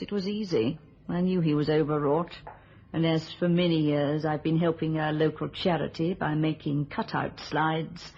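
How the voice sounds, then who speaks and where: An actress playing a cold, vengeful murderous widow, delivering a deliberate, dramatic confession in an old radio thriller. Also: female voice, low voice, creaky, a little mellow, breathy edge, heavily acted. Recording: muffled old radio recording.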